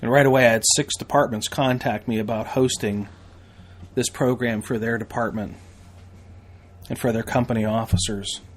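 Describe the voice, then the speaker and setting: Male, American, mid-pitched. Podcast host and instructor selling training in a folksy, emphatic monologue. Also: fairly even intonation, moderate pace. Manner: emphatic, folksy sales delivery with repetition and rhetorical questions.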